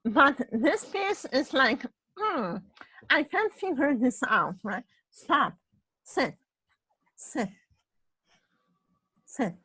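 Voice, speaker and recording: A woman from Poland with a Polish accent and a husky voice, telling a personal story in casual chat, halting and restarting her phrases and often adding "right?". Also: high voice, sing-song, sharp tone, dry recording, rough recording. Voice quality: husky voice, ongoing voice problem.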